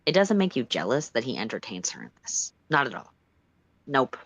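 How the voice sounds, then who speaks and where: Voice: medium pitch, very animated, normal speed, fairly bright tone, no echo. A storyteller reading fan fiction aloud in the second person, with an emphatic, dramatic and exasperated delivery. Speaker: storytime livestream reader.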